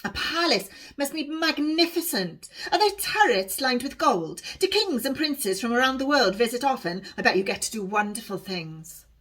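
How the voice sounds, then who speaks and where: Woman acting wide-eyed Tudor storybook girl, high voice, awed, breathless, curious. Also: lively intonation, normal speed, few pauses, fairly bright tone. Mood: eager, awestruck. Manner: breathless, awed, full of questions. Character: Honesty, eager wide-eyed tired girl in Tudor London.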